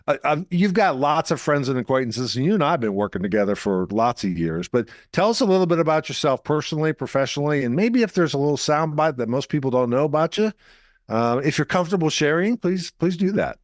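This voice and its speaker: A man's voice: on the high side, animated, fast, dry recording, studio quality. A man hosting an interview show, talking in an enthusiastic, chatty way and often repeating words. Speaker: show host.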